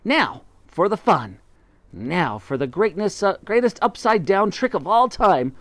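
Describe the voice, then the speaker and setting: A reader putting on a frenzied monkey father, barking orders high and loud with wild swings of pitch in a close, dry sound. He sounds urgent and bossy, hurrying everyone along. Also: very animated, a little slow, no echo. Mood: excited. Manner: shouting, urgent commands.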